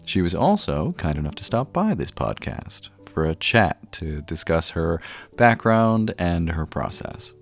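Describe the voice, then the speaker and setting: A man hosting a podcast, giving measured introductions and interview questions. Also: low voice, very animated, creaky, faint music behind. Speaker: podcast host.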